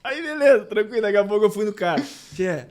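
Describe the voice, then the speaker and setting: A man's voice: high voice, lively intonation, a little mellow. A man telling a travel story in a casual chat, laughing and acting out what people said. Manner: fast, laughing, acts out quoted dialogue.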